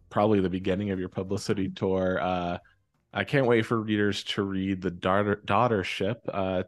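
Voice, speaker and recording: A man hosting a casual author interview podcast, talking in an informal, hesitant way. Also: lowish voice. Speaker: podcast host.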